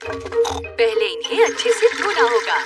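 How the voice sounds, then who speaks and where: A woman voicing a gentle but firm motherly pig in a children's cartoon dub. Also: fairly high pitch, huge pitch swings, unhurried. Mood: warm, cheerful. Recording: distant-sounding, loud music behind.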